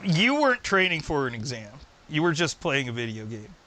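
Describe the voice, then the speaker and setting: A man's voice: a little high, sing-song, normal speed, bright, forceful tone, poor audio. A man around forty hosting a stream, mockingly accusing a caller during a heated live argument. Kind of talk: heated live-call confrontation.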